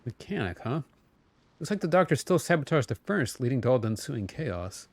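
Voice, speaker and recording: Animated male game streamer, chatty. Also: medium pitch, fairly quick, no room sound. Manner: rambling, self-correcting, chatty. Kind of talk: casual live-stream commentary and dialogue reading. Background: game music.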